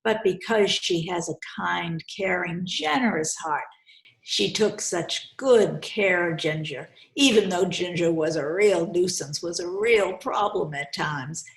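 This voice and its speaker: A woman hosting a children's storytime, speaking deliberately and instructively as she reads and explains, often repeating phrases for young listeners. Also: on the low side, gravelly. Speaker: host reading children's books aloud. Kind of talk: storytime read-aloud with commentary to children. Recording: roomy, echoey sound.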